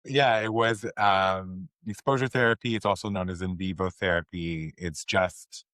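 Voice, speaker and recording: Low-voiced man hosting a podcast. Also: steady tone, moderate pace, fairly bright tone, dry recording. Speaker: podcast host. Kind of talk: interview, brief supportive interjections.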